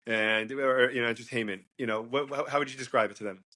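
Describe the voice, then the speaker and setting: A man's voice: mid-range voice, steady tone, quick pace, pushed and bright. A man hosting a casual interview, talking in a rambling way with many fillers and restarts. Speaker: host, interviewer.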